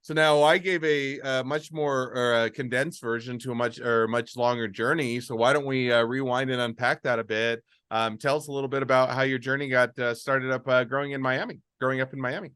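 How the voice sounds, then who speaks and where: A man's voice: mid-range voice, fairly even intonation, a little fast, hardly any pauses, fairly bright tone, clear, resonant tone, no echo, clean studio sound. A man hosting a podcast interview, asking long rambling questions and often restarting them. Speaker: podcast host. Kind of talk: casual interview.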